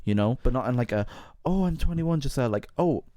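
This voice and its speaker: A man in his twenties co-hosting a podcast, chatting casually and musing aloud in a quick, rambling way. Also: mid-range voice, animated, mellow, no echo, dull audio. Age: 20s. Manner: fast, chatty, trails off into musings. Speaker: podcast co-host. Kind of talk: casual chat.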